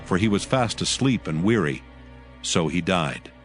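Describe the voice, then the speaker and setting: Male scripture narrator, fairly deep, solemn storyteller, prominent music underneath. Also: lively intonation, a little slow.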